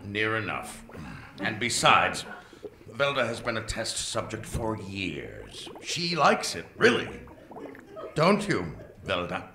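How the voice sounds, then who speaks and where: A man playing a scatterbrained, pompous male mad scientist who bursts into excitable outbursts. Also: fairly low pitch, slow pace, reverberant, a crowd in the background, exaggerated voice. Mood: blustering. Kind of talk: comic radio play acting.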